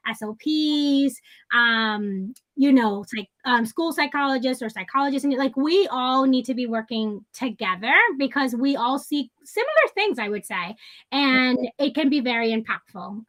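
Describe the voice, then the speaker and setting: A woman's voice: high voice, a bit bright. A woman in her thirties, raised in the Dominican Republic and New York, a native Spanish speaker, talking casually and enthusiastically as a guest social worker, with many fillers and restarts. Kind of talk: casual interview talk.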